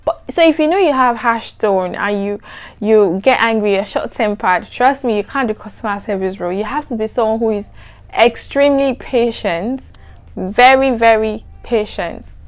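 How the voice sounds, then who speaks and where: A young adult woman with a soft, soothing voice, chatting to camera in an animated, emphatic way. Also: fairly high pitch, normal speed. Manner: animated, emphatic, repeats "trust me". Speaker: vlogger. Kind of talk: casual chat to camera.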